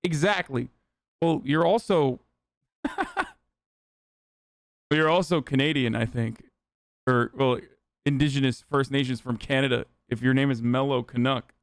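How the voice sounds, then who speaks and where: A man's voice: medium pitch, a little slow, long pauses, no echo, studio quality. A young man from Turkey doing casual livestream commentary, ranting loosely and swearing for emphasis. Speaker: streamer reacting to a video.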